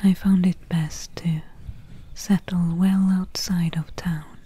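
A secretive swamp witch and healer, played by a woman, whispers in a dark, mellow voice. She speaks extremely slowly and deliberately, with a very breathy, hushed tone made for ASMR roleplay. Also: on the low side, little pitch movement, exaggerated voice. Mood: secretive, inviting. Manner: slow, hushed, deliberate storytelling. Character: soft-spoken female swamp witch, secretive, helpful healer.

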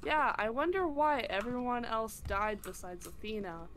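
A woman's voice: fairly high pitch, expressive, normal speed. A woman in her thirties streaming a co-op ghost-hunting game from home, chatting casually and teasing her friends with frequent laughs. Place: home room, indoors.

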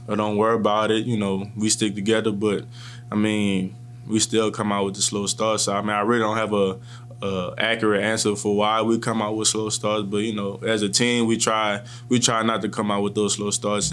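A young man in his twenties, a college football player, answering post-game questions in a press room calmly and with frequent restarts, speaking into table microphones. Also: lowish voice, a little hiss or hum. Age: 20s. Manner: calm and measured, with frequent restarts. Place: press room in front of a sponsor backdrop. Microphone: table microphones in front of him.

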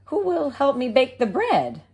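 A woman voicing a busy, firm mother hen from a storybook, with expressive, drawn-out delivery. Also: sits high, breathy edge, voice pushed up, heavily acted. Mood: resolute.

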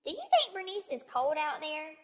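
A woman voicing an earnest little girl in a storybook, small, light, soft and tender. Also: sits high, sing-song, moderate pace, in a large hall, pitched above the performer's own voice. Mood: curious. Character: earnest little girl devoted to her squash. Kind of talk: storybook character voice.

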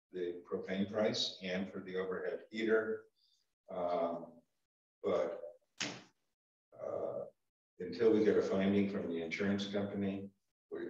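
A man's voice: sits low, darkish tone. An older man with a Vermont accent chairing a small-town board meeting, hesitant with many ums and restarts. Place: town office meeting room. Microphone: far room camera. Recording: echoey room from far off, dull sound.

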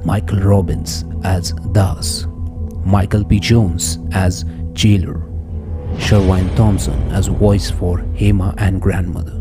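A man announcing a podcast drama in a scripted introduction with cast credits. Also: low voice.

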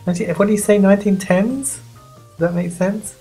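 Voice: medium pitch, fairly quick, dark tone, slightly rough. Sniffly, rambling magazine reviewer over music. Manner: rambling, playful, self-deprecating. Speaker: magazine reviewer and video host. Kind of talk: casual off-the-cuff review chat.

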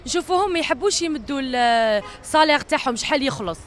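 A woman's voice: high voice, lively intonation, fast talker. A young woman with an Algerian accent, working as a street reporter on a handheld microphone. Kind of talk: vox pop interviewing. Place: outdoors on a busy city street. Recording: faint outdoor street noise.

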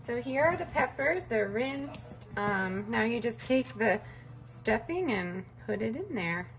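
A woman chatting casually as she walks through her meal prep in a home kitchen, relaxed and full of fillers. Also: a little high, slow pace. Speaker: vlogger cooking meal prep. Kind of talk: casual cooking narration.

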